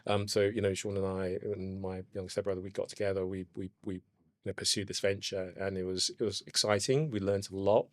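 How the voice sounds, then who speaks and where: A man's voice: low-pitched, flat delivery, fairly quick, no echo, clean studio sound. A Ghana-born man, talking as a brand co-founder in a reflective podcast conversation. He is hesitant, with many fillers and frequent "you know"s.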